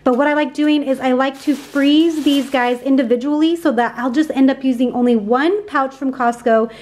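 A woman's voice: sits high, steady tone, moderate pace, darkish tone. A woman in her thirties chatting casually and upbeat in a voice-over about her groceries, with lots of fillers. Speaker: grocery haul vlogger.

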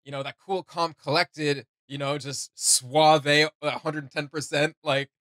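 A young man gives casual reaction commentary. His voice is bright and forward, a little high for a man, in a dry, close-sounding room. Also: level tone, a little slow, no echo. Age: young adult. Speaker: reaction video host. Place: small indoor room with gaming setup.

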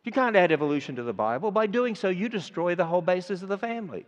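A man's voice: high-pitched, expressive, quick pace. Emphatic older Australian man preaching. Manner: emphatic, swinging between loud and quiet. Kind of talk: sermon. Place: large auditorium. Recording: dull sound with long hall reverb.

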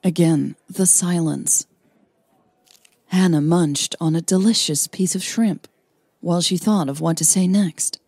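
A woman's voice: fairly low pitch, measured pace, warm tone, husky, no echo. A woman hosting a podcast and narrating a romance story, sounding a little tired. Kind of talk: scripted romance narration and casual podcast outro.

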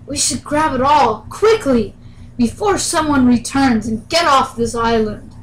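A woman voicing an eager, hungry sailor rabbit in a puppet story, excitable and urgent. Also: high voice, measured pace, slight background noise, rough recording. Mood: excited, urgent.

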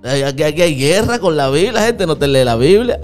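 A man's voice: fairly high pitch, lively intonation, a little fast, soft background music. A young man hosting a podcast from a small studio, teasing and hyping his guest in casual Spanish. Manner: playful, hypey, teasing. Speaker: podcast host. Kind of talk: casual interview. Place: small studio with purple lights. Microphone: studio mic on stand, headphones.

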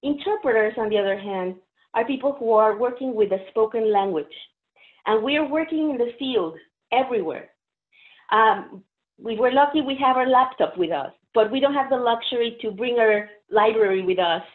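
A woman's voice: on the high side, lively intonation, moderate pace. A woman from Mexico, a native Spanish speaker with a Mexican Spanish accent, giving a friendly, explanatory interpreter training presentation on a video call. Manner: friendly, explanatory, uses fillers. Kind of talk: webinar presentation. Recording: phone-like narrow audio.

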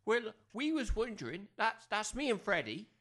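A woman's voice: medium pitch, very animated, moderate pace, fairly bright tone, breathy edge. A woman playing an anxious, pleading working-class village mother in an amateur comedy audio drama, flustered and apologetic. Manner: flustered, apologetic, non-standard grammar.

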